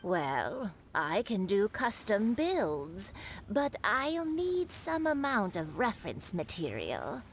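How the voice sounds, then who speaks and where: A woman's voice: medium pitch, huge pitch swings, takes it slowly, slight background noise, heavily acted. A woman playing a kindly, grandmotherly old kite maker in a video game. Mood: hesitant, polite. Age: older. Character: kindly old granny who makes kites.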